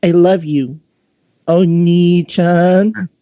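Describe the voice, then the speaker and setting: A man's voice: high voice, fairly even intonation, drawn-out words, mellow, voice pushed up, heavily acted. A man voicing a bashful, indignant ten-year-old catgirl little sister in a fanfiction reading. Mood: affectionate. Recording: dull, roomy home microphone recording.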